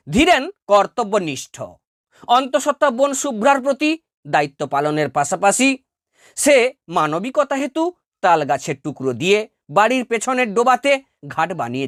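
A woman's voice: a little high, no echo, clean studio sound. A woman teacher reading a scripted lesson for school students in a formal, emphatic, carefully phrased way. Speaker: teacher presenting exam answers to twelfth-grade students. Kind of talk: scripted educational narration, read-out essay.

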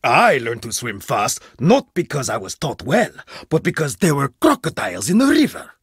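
A man's voice: mid-range voice, wildly swooping pitch, normal speed, breathy edge, no room sound, clean studio sound, full character voice. An actor playing a stern, scornful male archaeologist in a video game, harsh and commanding as he scolds his student. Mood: reflective. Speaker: archaeologist mentor.

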